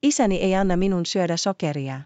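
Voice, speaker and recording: A woman voicing an earnest schoolgirl in a scripted language-learning story, speaking deliberately in simple phrases. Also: fairly low pitch, mellow, dry recording, dull audio, close to an everyday voice. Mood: friendly, curious. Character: schoolgirls Lisa and Sarah, earnest classmates making up.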